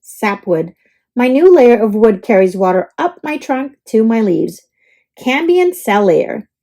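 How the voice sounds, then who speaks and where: A woman reading a children's book aloud in an animated, expressive way. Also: a little high, a little slow.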